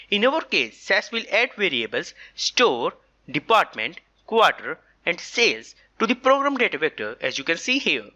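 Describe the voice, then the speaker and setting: An instructor narrating a scripted software tutorial in a methodical, explanatory manner. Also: medium pitch, lo-fi sound.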